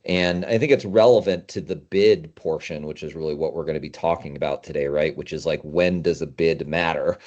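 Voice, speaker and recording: A man's voice: rumbling low, a little fast, hardly any pauses, a little mellow, muffled recording. A middle-aged man speaking as a podcast guest, explaining things in an informal, conversational way. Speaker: podcast guest, industry consultant.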